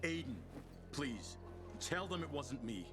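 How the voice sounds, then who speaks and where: A man's voice: fairly high pitch, very animated, slow. An actor voicing a nervous, shifty male merchant who pleads and dodges in a flustered way, in video game dialogue. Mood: pleading. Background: music.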